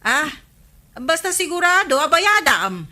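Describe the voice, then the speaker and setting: A woman's voice: high voice, sing-song, unhurried, sharp tone, full character voice. A woman acting a firm, businesslike village storekeeper with a Filipino accent in a comedy radio drama. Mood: cautious. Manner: businesslike, insistent.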